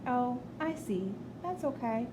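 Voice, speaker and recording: A caring, practical storybook mother as a woman reads her aloud. Her voice is fairly high, over a clearly noisy recording. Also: animated, takes it slowly. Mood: gentle.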